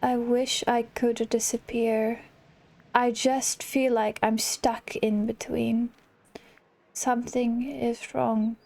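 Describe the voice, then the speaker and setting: Girl doing a sad withdrawn game heroine, subdued, halting, brushing people off. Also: fairly high pitch, flat delivery, creaky, a little mellow.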